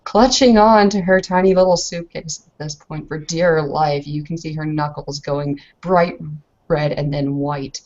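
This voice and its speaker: A woman's voice: low-pitched, fairly even intonation, a little slow, darkish tone, lo-fi sound. A woman narrating her character's actions as a player in a tabletop roleplaying game.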